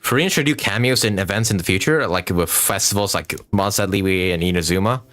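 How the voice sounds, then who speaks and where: A man's voice: low-pitched, a little fast, fairly bright tone. A man giving casual livestream game commentary, rambling and enthusiastic, with casual swearing. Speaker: game streamer. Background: game music.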